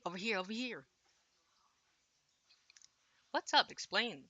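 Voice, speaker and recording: A woman's voice: medium pitch, lively intonation, slow pace, sharp tone, highs cut off. A woman streaming a visual novel, reading translated dialogue aloud and adding casual, slangy asides. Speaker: game streamer.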